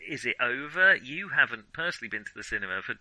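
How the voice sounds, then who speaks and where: Male, podcast co-host, sharp bright voice, dry sound, casual film chat, hesitant and rambling. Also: mid-range voice, very animated, fast talker, no room sound, rough recording.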